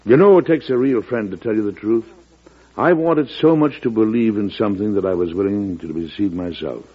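A male actor playing a weary, earnest early American president in an old-time radio drama, speaking in a measured, formal way. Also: on the low side, husky. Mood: rueful, resigned. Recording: muffled vintage radio recording.

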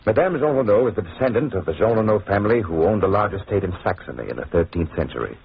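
A man's voice: lowish voice, expressive, fast, vocal fry, husky, full character voice. A man playing an earnest, honourable French nobleman wronged and jailed, courteous, in old radio drama style. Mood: solemn confession. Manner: courteous, conscientious. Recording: muffled, tinny old radio recording.

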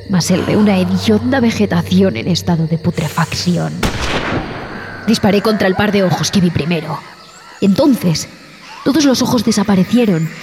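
Haunted jungle explorer, played by a woman, mid-pitched, recounting horror deliberately for audio drama, music underneath. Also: darkish tone, natural voice.

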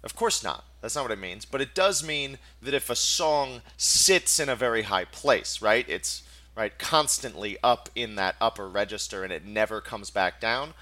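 A man's voice: lowish voice, expressive, moderate pace, sharp tone. A man hosting a podcast, talking casually, asking probing questions and explaining his points while checking that he is understood. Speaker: podcast host. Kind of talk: casual chat.

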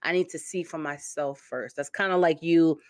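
A woman's voice: sits low, steady tone, normal speed, dry recording, clean studio sound. A woman in her thirties from Connecticut, hosting a casual interview show in a chatty, upbeat way and laughing often. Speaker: podcast host.

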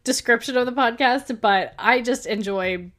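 A woman's voice: fairly high pitch, normal speed, a loud crowd. A woman co-hosting a podcast, chatting casually and laughing often as she recaps past episodes. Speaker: podcast co-host.

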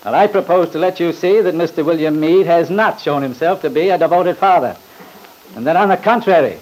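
A man's voice: fairly high pitch, brisk, darkish tone, close to an everyday voice, rough recording. A man playing a pompous, badgering attorney in an old radio drama, formal and sarcastic. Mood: pompous, accusing. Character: scornful, badgering male attorney, pompous and theatrical.

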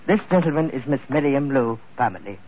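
An actor playing a pompous, genial gentleman schemer who talks in ornate, formal phrases, in old-time radio drama style. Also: medium pitch, lively intonation, fairly quick, close to an everyday voice. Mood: effusive. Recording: tinny, noisy old radio recording.

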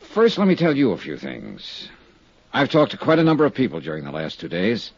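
Cool radio detective, swooping low voice. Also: male voice, wildly swooping pitch, breathy edge, dry recording, full character voice. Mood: calm, courteous. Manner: measured, insinuating, courteous questioning. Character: cool, probing male insurance investigator. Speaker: radio drama actor playing the lead detective. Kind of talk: 1950s radio drama acting. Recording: dull, dry 1950s radio recording.

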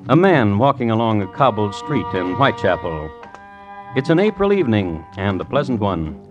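A man hosting and narrating a vintage radio crime series in a formal, measured, dryly wry deadpan. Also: fairly low pitch, highs cut off, music in the background. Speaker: host and narrator.

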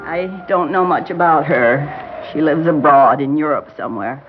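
A woman's voice: sits low, normal speed, natural voice. An older woman acting in a classic radio drama as a genteel, defensive grieving grandmother, with a prim, hesitant manner. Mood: prim, reserved. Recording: dull vintage radio recording with music.

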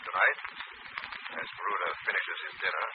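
A man's voice: low-pitched, normal speed. A man announcing and narrating in an emphatic, theatrical 1940s radio style. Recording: tinny, noisy old radio recording.

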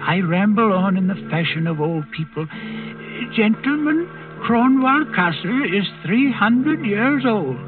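An elderly male servant with a high, theatrical voice, meandering as he pretends to be simple. It is 1940s radio drama, narrow and tinny, with music clearly behind him. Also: sing-song. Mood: grandiose rambling. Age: older. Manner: slow, rambling, theatrical. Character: elderly rambling Danish castle servant, feigning stupidity. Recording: band-limited 1940s radio recording, loud music.

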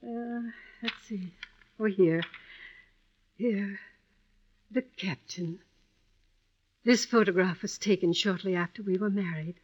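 An actress playing a kindly, wistful elderly widow in an old-time radio drama, gentle and hesitant, with fond endearments. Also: female voice, medium pitch, slow, long pauses. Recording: dull vintage radio recording.

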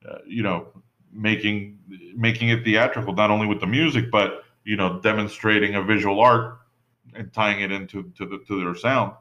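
A man with a lowish voice rambles through a casual podcast chat, filling his talk with "you know" and restarting his sentences. The audio is dull and lo-fi. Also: steady tone, moderate pace, pushed and bright. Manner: rambling, many fillers and restarts. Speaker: podcast co-host. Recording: dull lo-fi sound.

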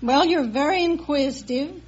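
A woman's voice: high voice, normal speed, very noisy. A woman, a quiz show contestant, giving short matter-of-fact answers on an old radio show. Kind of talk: brief, simple answers in an interview. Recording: muffled vintage radio recording.

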